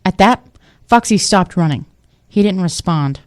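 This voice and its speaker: A woman's voice: on the low side, expressive, measured pace. A woman giving scripted story narration for an audio drama.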